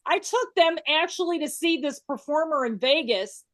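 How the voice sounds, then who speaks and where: A woman's voice: high-pitched, lively intonation, normal speed, a bit bright, no room sound. A woman hosting a casual chat with a band, talking loosely with lots of fillers and stories. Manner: chatty, storytelling, many fillers. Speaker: podcast host. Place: works in Las Vegas.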